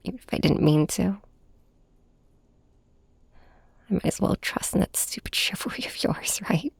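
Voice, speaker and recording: A heartbroken young superhero, acted by a woman, confides in a nemesis in an intimate audio drama. The voice is breathy and often sinks into a whisper, with creaky, frayed edges. The delivery is halting and emotionally raw, recorded close to the microphone. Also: on the low side, animated, no room sound, full character voice. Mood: weary, bitter. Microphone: close microphone, dry.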